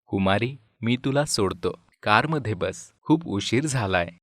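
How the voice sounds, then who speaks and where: Man as low-voiced earnest young suitor. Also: lively intonation, unhurried, fairly bright tone, no room sound, clean studio sound. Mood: earnest, tender. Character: earnest, stubborn young suitor and office worker.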